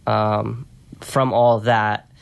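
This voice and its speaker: Quiet, low-voiced man telling stories. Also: level tone, extremely slow, vocal fry, clear, resonant tone. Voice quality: quiet, soft-spoken. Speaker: podcast guest, strength and conditioning coach. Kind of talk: conversational interview storytelling.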